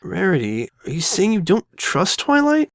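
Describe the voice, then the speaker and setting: A loyal young boy dragon as a man acts him out speaks frankly and casually. The pitch is fairly high and moves about in an animated way. It is a youthful cartoon-fantasy part, recorded dry. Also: moderate pace, dry recording, exaggerated voice. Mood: caring, frank. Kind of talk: dramatic fan fiction reading.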